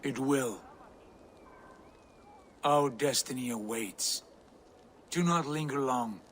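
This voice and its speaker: A man's voice: mid-range voice, takes it slowly, fairly bright tone, slight background noise. An actor playing a proud Viking warlord in a video game, obsessed with his destiny and speaking in a lofty, portentous way. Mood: grim resolve. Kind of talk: dramatic video game acting.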